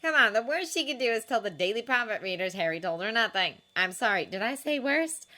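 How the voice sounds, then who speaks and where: Woman voicing storybook teen girl, cheerful, teasing, high, bright. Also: lively intonation, a little fast. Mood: consoling. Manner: consoling and teasing.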